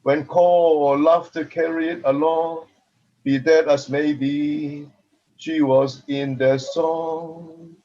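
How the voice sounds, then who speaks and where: Slow, higher-pitched man reading poetry. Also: level tone, warm tone. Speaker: participant reading poetry aloud. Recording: dull, lo-fi sound.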